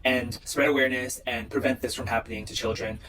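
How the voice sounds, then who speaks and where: A man's voice: mid-range voice, steady tone, brisk, background noise. Chatty young-sounding man from Indonesia. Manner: chatty, heavy use of "like". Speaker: social media creator. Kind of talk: casual talking-to-camera clips.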